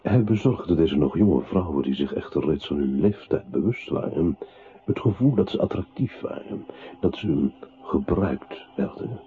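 An actor playing a narrating voice in a literary radio play that recounts a composer's decline into silence. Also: male voice, low voice, lively intonation, normal speed. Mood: ironic. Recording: muffled, noisy old radio recording.

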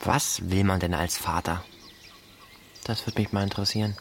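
Hesitant, low-voiced young man. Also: fairly even intonation, slight background noise. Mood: hesitant, curious. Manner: halting, questioning, understated. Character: hesitant, quietly curious young man, learning to fish. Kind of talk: naturalistic radio drama dialogue.

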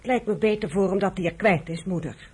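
A woman's voice: medium pitch, a little fast, slightly rough. A woman playing a jealous, scornful young daughter in a Dutch radio drama, curt and judgmental. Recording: noisy old radio drama recording.

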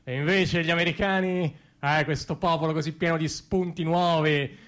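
A man's voice: a little high, steady tone, normal speed, close to an everyday voice. A man performing a satirical stage monologue as an ironic, colloquial admirer of America, full of interjections and rhetorical questions. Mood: ironic praise. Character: ironic man gushing over America, mocking stale old Europe.

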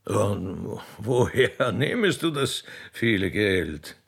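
Man playing a drawling, husky nobleman. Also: on the low side, big pitch swings, takes it slowly, fairly bright tone, voice pushed down, full character voice. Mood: indulgent. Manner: stately, drawling, archaic courtly phrasing. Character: genteel, condescending, kindly older nobleman. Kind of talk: radio play dialogue.

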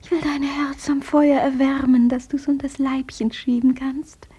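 A woman playing a dreamily adoring dressmaker in love, breathy and swooning, in a radio play. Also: sits high, level tone, a little slow, mellow. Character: lovestruck dressmaker and sweetshop owner, dreamily adoring. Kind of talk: literary radio play acting.

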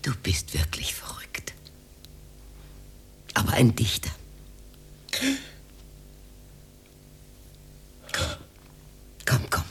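An actress playing a tender, teasing, lonely older widow in a radio drama. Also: female voice, extremely low pitch, expressive, slow pace, exaggerated voice. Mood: soothing, tender. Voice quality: breathy, often half-whispered. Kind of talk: intimate radio drama acting. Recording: 1980s studio radio play.

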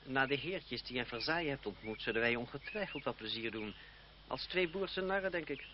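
Actor as sardonic young French nobleman, low-pitched. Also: expressive, brisk, lightly acted. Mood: teasing, sardonic. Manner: mocking, theatrical, eloquent. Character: sardonic young French nobleman, smitten cousin, secretly jealous. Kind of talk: vintage Dutch radio play acting. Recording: dull, noisy old radio-play recording.

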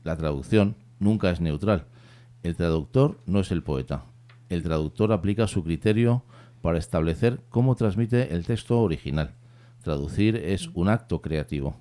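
Hombre de voz muy grave y tersa. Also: male voice, low-pitched, dark tone. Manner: measured, frequent tag questions, reads quotes aloud. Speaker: radio host. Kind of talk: radio interview.

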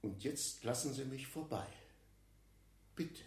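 A man's voice: mid-range voice, slow, a little mellow, natural voice. An actor playing an indignant male tenant in a radio drama, protesting in an agitated but formally polite way in an echoey stairwell. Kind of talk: German radio drama acting.

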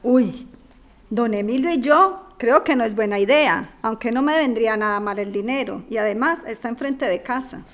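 Una mujer que interpreta a una vecina inmigrante con apuros, educada e indecisa, hablando de forma sencilla en una radionovela. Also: female voice, a little high, normal speed, close to an everyday voice. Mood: hesitant, downcast. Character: struggling immigrant woman neighbour, polite but hesitant. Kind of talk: radio drama acting.

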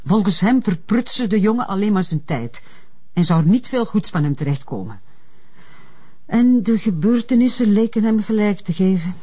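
Guarded mother, soft dark timbre, mid pitch, measured, formal, composed, detective drama dialogue. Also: lively intonation, slightly rough. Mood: resigned, defensive. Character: reserved, formal mother of a troubled adult son. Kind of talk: naturalistic crime drama dialogue.

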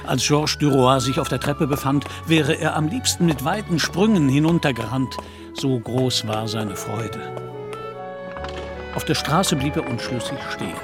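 An actor narrating a literary society story in a German radio play in a composed, measured way. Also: male voice, medium pitch, over music, close to an everyday voice.

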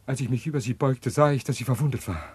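An actor playing a scheming, self-pitying husband who recounts his crime in a German radio play, deliberate and theatrical. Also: mid-range voice, a little mellow, close to an everyday voice. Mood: earnest, agitated.

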